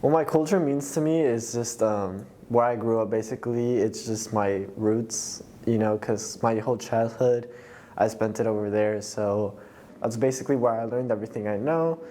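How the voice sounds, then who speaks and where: Brief: teen boy from Mexico, dark mellow tone, unhurried and halting, faint background hiss. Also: medium pitch. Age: teens. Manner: relaxed, hesitant with fillers. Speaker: interview guest. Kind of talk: casual talk.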